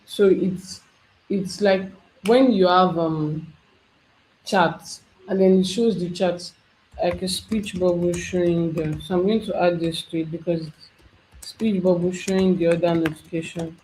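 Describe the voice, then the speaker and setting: An instructor talking through a software tutorial step by step, thinking aloud as they work. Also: medium pitch, fairly even intonation, unhurried, slight background noise, rough recording. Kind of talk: screen-share software tutorial.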